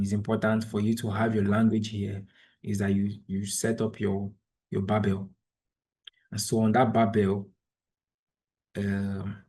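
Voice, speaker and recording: A man's voice: fairly low pitch, dark tone, slightly rough. A man whose first language is Igbo, from Nigeria, patiently walking through an online tutorial step by step over a video call. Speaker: trainer. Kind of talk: screen-share tutorial.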